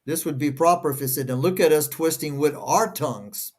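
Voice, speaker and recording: Give me a fairly high-voiced man whose first language is Portuguese, reading passages and stopping to question each phrase. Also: a little fast. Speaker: co-host reading scripture. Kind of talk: casual discussion, reading verses aloud.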